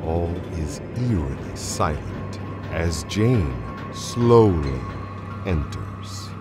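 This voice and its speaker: A man's voice: sits low, expressive, drawn-out words, full character voice. A man narrating a supernatural radio play as a grave, dramatic storyteller. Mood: grave, suspenseful. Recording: voice over clear music.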